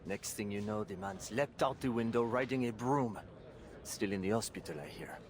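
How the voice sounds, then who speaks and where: A man's voice: on the low side, normal speed, close to an everyday voice. An actor playing a gossipy man in a game, telling tales like rumors. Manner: gossipy storytelling. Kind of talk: dramatic game voice acting.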